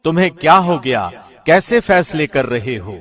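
A man with a solemn, measured, clearly articulated delivery, formally reading a scripture translation like a professional radio presenter. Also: on the high side, very animated, a bit bright.

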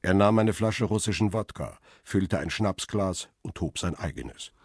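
A man's voice: deep voice, lively intonation, measured pace, gravelly, a little mellow, husky, no echo, studio quality, exaggerated voice. An actor playing a world-weary middle-aged photographer, telling his own story soberly and laconically in a radio crime drama. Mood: sober, observant. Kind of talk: first-person radio crime drama narration.

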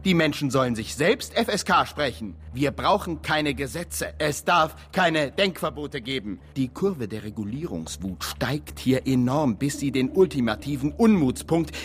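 A man's voice: mid-range voice, big pitch swings, moderate pace, hardly any pauses, slight background noise, close to an everyday voice. An actor playing a pompous ad-agency man in a satirical radio drama, declaiming in slogans. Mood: indignant.

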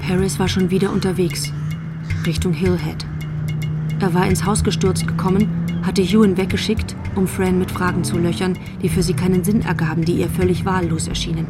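A woman's voice: on the low side, flat delivery, a little fast, over loud music. A woman narrating a scripted radio drama in the third person.

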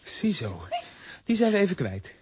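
A woman's voice: lowish voice, huge pitch swings, unhurried, background noise. An actress playing an eager, adventurous young boy in a children's audio drama.

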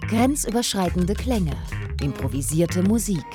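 A woman's voice: on the low side, lively intonation, a little slow. A woman with a standard German accent reads a scripted radio promo as a station announcer. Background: music.

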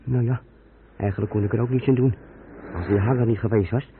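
A grumbling lorry driver acted by a man mutters to himself in a medium-pitched voice, making excuses as in a radio play monologue. The old recording is very tinny, with plain noise behind him. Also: steady tone, fairly quick, lightly acted. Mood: gloomy, aggrieved.

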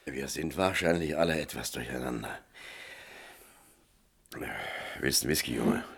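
Deep, gravelly scheming boss. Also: male voice, fast, fairly bright tone, heavily acted. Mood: conciliatory. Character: scheming, self-pitying, domineering man of authority. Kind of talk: German radio play acting.

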